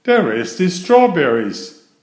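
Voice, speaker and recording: A man's voice: high-pitched, sing-song, slow, a little mellow, voice pushed up, heavily acted. A man voicing a cheerful, literal-minded housekeeper woman with a folksy, matter-of-fact way of talking, in a storybook read-aloud. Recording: roomy echo.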